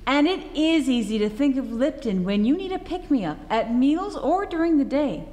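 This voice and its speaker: A woman's voice: high voice, animated, moderate pace, dark tone. A woman playing a chipper old-time radio advertising announcer, perky and selling, recorded on a reverberant stage.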